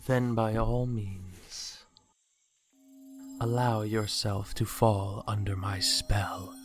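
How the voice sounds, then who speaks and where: A man's voice: lowish voice, level tone, faint music behind. A man voicing a possessive, seductive naga serpent hypnotist in a fan audio drama, coaxing his lover with a theatrical villain purr. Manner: slow, coaxing, theatrical villain purr, often near whispering.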